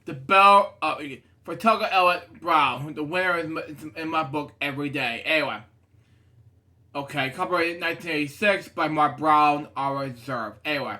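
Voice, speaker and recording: A man with a notably high voice and a bright, forward tone reads a children's storybook aloud. His delivery is casual and unpolished, and he drifts from the text into rambling side remarks. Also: moderate pace. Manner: rambling, digressive, often breaking off to comment. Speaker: storybook reader and show host. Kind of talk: casual read-aloud of a children's picture book with rambling asides.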